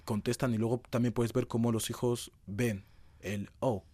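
A man's voice: medium pitch, fairly even intonation, moderate pace, mellow, no room sound. A young man, giving conversational answers as an interview guest. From Madrid, Spain. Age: young adult.

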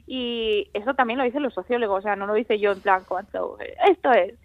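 A young woman from Spain, an author and illustrator, talking in a lively way and laughing often as a radio guest on the phone. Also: mid-range voice, fairly quick, no echo. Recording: tinny phone line.

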